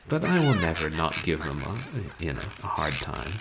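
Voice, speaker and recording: A man in his thirties, a game streamer chatting casually at home in a wry, rambling, animated way. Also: rumbling low, normal speed, background noise. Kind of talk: casual livestream chat. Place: small room at home, indoors.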